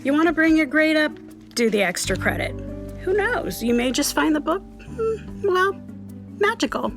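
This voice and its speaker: An actress playing a firm but kindly schoolteacher, measured and coaxing, in a family audio drama. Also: female voice, sits high, big pitch swings, soft background music.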